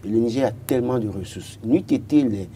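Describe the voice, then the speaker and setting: A man's voice: mid-range voice, a little fast, warm tone, slight background noise. A man in his thirties from Benin with a Beninese French accent, arguing as a political commentator on a TV panel and often stuttering on repeated words.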